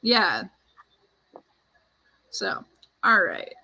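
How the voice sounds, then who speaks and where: A woman's voice: mid-range voice, huge pitch swings, very slow, vocal fry, bright, forceful tone, breathy edge, rough recording. A woman hosting a casual writing livestream, talking in a chatty, informal way full of "like". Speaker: livestream host.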